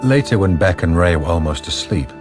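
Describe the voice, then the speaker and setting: A man's voice: low voice, animated, a little fast. A man narrating a crime radio drama as a sombre storyteller. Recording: music behind the narration.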